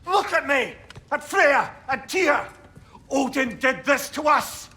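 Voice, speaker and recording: A man's voice: extremely high pitch, lively intonation, slow pace, sharp tone, heavily acted. A man voicing a distraught, bitter character in a video game, agitated and emphatic. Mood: angry, accusing. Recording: roomy echo, music behind.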